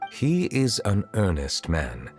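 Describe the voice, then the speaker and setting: Deep-voiced, dignified chief justice. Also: male voice, wildly swooping pitch, a little mellow, soft background music, full character voice. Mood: calm, advising. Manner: formal, measured, courteous. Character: calm, formal, dignified male chief justice. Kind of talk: video game voice acting.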